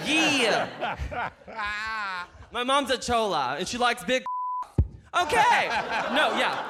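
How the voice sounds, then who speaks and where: A man's voice: extremely high pitch, noisy background. A drag queen comedian doing brash, crude stand-up on a stage into a handheld mic. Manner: brash, crude crowd banter. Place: theater stage with live audience.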